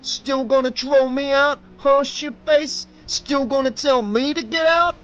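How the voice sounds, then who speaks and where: A man's voice: very high-pitched, a little slow, muffled recording, voice pushed up. A man voicing a brutal, burly male street thug with a Spanish-accented English, harsh, profane and taunting. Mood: menacing. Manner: taunting, menacing, loud.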